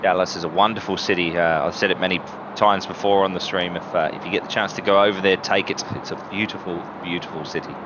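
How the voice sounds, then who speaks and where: A man's voice: deep voice, fairly even intonation, fast. A man co-hosting a live stream in casual, earnest chat. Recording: dull lo-fi remote link, loud vehicle noise behind.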